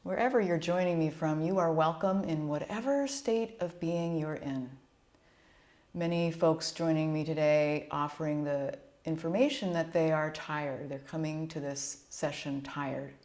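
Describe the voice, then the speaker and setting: Low-pitched woman guiding a relaxation meditation. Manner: soothing, gentle, unhurried instruction. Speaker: yoga nidra instructor.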